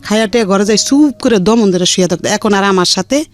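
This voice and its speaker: A middle-aged woman speaks in colloquial Bangladeshi speech, recounting her own life to an interviewer. She is plain-spoken and rambles from detail to detail. Soft music plays faintly behind her. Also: mid-range voice, brisk. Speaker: interview guest. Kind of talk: personal life-story account.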